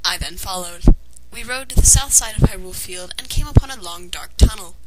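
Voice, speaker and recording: A woman's voice: medium pitch, a little fast. A woman reading a fan fiction story aloud as narrator, in a dramatized way. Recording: noisy amateur home recording.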